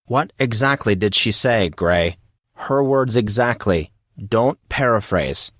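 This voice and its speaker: A man voicing a teasing, excitable teenage schoolboy in an audio drama, questioning and exclaiming with mock drama. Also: fairly low pitch, takes it slowly, no echo, studio quality, exaggerated voice. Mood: insistent. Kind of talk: audio drama character dialogue.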